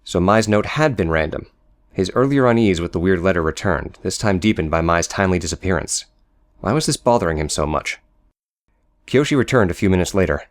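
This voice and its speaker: A man reading scripted audio book narration of a story. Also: low voice. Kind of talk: scripted narration with character dialogue.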